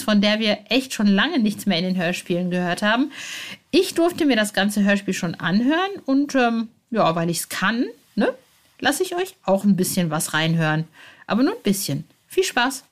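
A woman in her forties hosting a fan podcast, informal and excitable, speaking directly to listeners. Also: mid-range voice, normal speed. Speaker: podcast host. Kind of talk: chatty fan podcast presenting.

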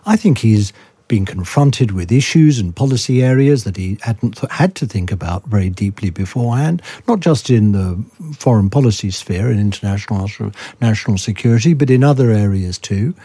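A man guest on a political discussion podcast who speaks in a measured, deliberate way. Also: fairly low pitch, expressive, mellow, husky, dry recording. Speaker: guest commentator.

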